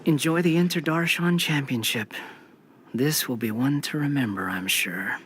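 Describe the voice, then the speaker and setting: A man plays a smug troublemaker in a video game, menacing and taunting. His voice is a little higher than most men's, over faint ambient noise. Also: moderate pace, close to an everyday voice.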